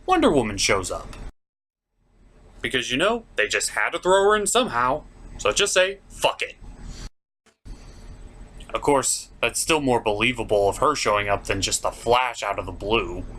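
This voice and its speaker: A man's voice: medium pitch, a bit bright, slight background noise. A man giving a sarcastic comedic recap as a review host, with a sardonic, exasperated manner. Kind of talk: sarcastic episode recap commentary.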